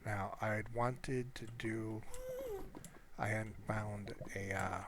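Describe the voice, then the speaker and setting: A man's voice: lowish voice, fairly even intonation, slow, background noise. A man giving casual, rambling gameplay commentary in a hesitant, meandering way, often correcting himself. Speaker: gamer narrating own gameplay.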